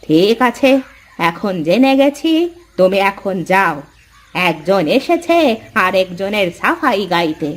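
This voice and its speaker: A woman voicing a scornful, grumbling older mother-in-law in a village cartoon, dismissive and scolding in drawn-out phrases. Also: medium pitch, sing-song. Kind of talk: Bengali cartoon fairy-tale voice acting.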